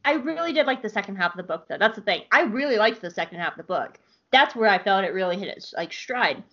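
A woman's voice: medium pitch, quick pace. This is a woman hosting a casual podcast in an eager, gushy way, cursing freely as she chats. Her voice sits in an ordinary middle range. The recording is dull and somewhat lo-fi. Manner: gushing, excitable, swears and laughs often. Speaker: podcast host. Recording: dull lo-fi sound.

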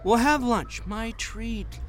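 A man's voice: very high-pitched, slow pace, a little hiss or hum. An actor in an audio drama playing a smarmy, pushy male buddy with a glib, jokey delivery.

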